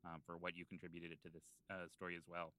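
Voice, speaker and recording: A man co-hosting a podcast, chatting casually in a halting way and repeating himself. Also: deep voice, completely flat delivery, pushed and bright, dry recording. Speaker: podcast co-host in character as a fire chief. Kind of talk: casual improvised chat.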